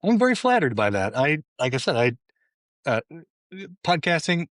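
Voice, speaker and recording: A man's voice: fairly high pitch, very animated, measured pace, a bit bright, dry recording, clean studio sound. A middle-aged man as a podcast guest in casual chat, modest and a little hesitant, restarting his sentences now and then.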